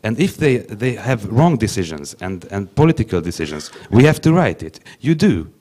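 A young man whose first language is Hungarian, speaking English haltingly on a conference panel into a handheld mic, with many restarts. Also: fairly low pitch, sing-song, mellow. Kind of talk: panel discussion.

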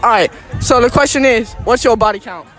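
A young man with a very high voice runs a casual public interview on an open field at night. He asks brisk, repeated questions and teases the answers with a laugh. A phone mic picks up crowd chatter and faint music around him. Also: fairly bright tone. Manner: brisk, repetitive, laughing, teasing. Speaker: street interviewer. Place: outdoor field at night event. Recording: outdoor phone recording with crowd noise.

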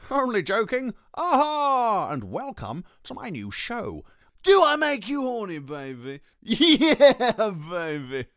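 Brash, sharp British comedy character. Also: male voice, high-pitched, wildly swooping pitch, slow, no echo, clean studio sound, pitched above the performer's own voice, exaggerated voice. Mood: cheeky. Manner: exaggerated, brash. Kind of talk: comedy impressions demo.

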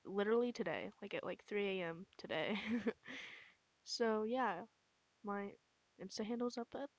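A woman's voice: a little high, no room sound. A young woman chatting casually and informally as an amateur comic dubber, prone to giggling and swearing. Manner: informal, sweary, laughs often.

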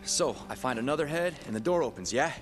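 An actor playing a sardonic, streetwise survivor in a zombie video game, sarcastic and skeptical in manner. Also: male voice, medium pitch, lively intonation, fast, over music, lightly acted. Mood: dry, skeptical. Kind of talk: video game character acting.

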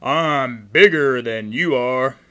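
A great big billy goat from a storybook, played by a male reader. He is bold and defiant, calling out loudly as a challenge. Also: medium pitch, slow. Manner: loud, challenging.

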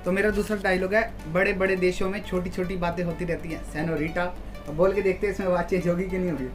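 A man's voice: high voice, steady tone, fast talker, over music. A young man from India hosting a casual challenge vlog, chatting to viewers in a small room at home. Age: young adult. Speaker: vlog host.

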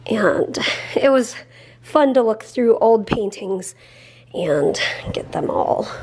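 A woman in her thirties, a vlog co-host, chatting in an animated way to a handheld camera in her kitchen, saying "like" often. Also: fairly high pitch, slow pace, a little hiss or hum. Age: 30s. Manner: chatty, animated, says "like" a lot. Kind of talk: casual vlog chat. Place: home kitchen. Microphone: handheld camera at arm's length.

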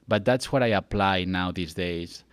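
A man's voice: low voice, moderate pace, clear, resonant tone, no room sound. A young man from Catalonia with a Spanish accent, a native Spanish and Catalan speaker, talking casually and earnestly as a podcast guest into a close studio mic. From Catalonia, Spain. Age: young adult. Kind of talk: casual interview talk. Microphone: close boom-arm studio mic.

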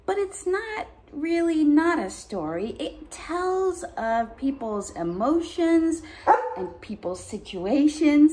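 Slow, mellow middle-aged woman at story time. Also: fairly high pitch, a little hiss or hum. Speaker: pastor reading story time for children. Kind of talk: reading poems aloud with casual asides to children. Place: bright dining room at home.

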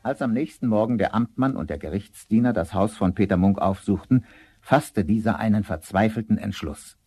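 A man's voice: lowish voice, normal speed, warm tone, a little hiss or hum. A man narrating a fairy tale as a scripted storyteller for a radio play.

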